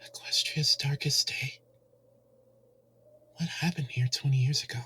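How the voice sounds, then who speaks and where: A man's voice: mid-range voice, steady tone, pushed and bright, husky, voice pushed up, exaggerated voice. A man voicing a grieving, bewildered young male pony in a dramatic fan fiction reading, with music behind him. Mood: fearful, bewildered. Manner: anguished, slow, dramatic delivery.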